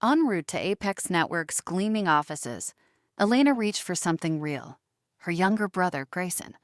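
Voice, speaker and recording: Woman narrator, lower voice, measured dramatic storytelling, very dry sound. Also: very animated, dry recording. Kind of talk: scripted dramatic audio-fiction narration.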